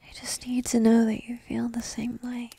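A woman's voice: fairly high pitch, completely flat delivery, moderate pace, dark tone, dry recording. A woman playing a petite, entitled but devoted dragon princess in a whispered, coy and intimate fantasy ASMR roleplay. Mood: fond, playful. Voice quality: breathy, whispery. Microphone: close microphone, dry.

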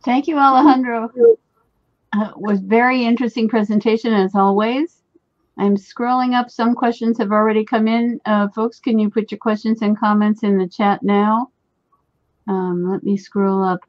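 A woman's voice: mid-range voice, steady tone, moderate pace, no room sound. Woman running webinar questions, dry close sound, kinda muffled call audio. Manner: friendly, organized, a few restarts. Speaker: moderator. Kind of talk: moderating online Q&A. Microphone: video call.

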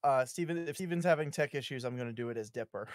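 A woman's voice: a bass voice, quick pace, a little mellow, dry recording, clean studio sound. A woman chatting casually and jokingly during an online script read on a group video call. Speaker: table read participant.

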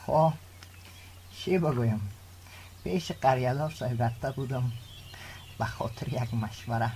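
A man's voice: mid-range voice, mellow, slight background noise, lightly acted. An actor playing a courteous middle-aged village father in a radio drama, with an Afghan Dari accent. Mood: cordial. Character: courteous village father seeking a bride for son.

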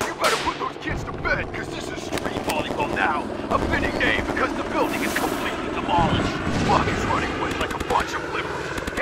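A man's voice: very high voice, vocal fry, heavy background noise, heavily acted. A man voicing a manic, bombastic, foul-mouthed sports announcer in an over-the-top cartoon parody commentary. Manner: profane, bombastic, hyped.

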